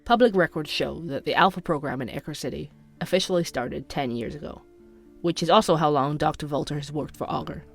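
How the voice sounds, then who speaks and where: Young conspiracy podcaster, measured and inquisitive, scripted audio drama narration, music underneath. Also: mid-range voice, gravelly. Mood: intrigued, serious.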